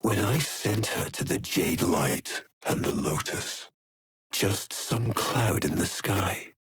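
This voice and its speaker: An actor playing an imperious, bitter, tormented aristocratic game villain, theatrical and breathy, lingering on his words. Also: sits low, expressive, slow, a bit bright, dry recording, faint music behind, heavily acted. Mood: grave, urging. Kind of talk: dramatic video game villain acting.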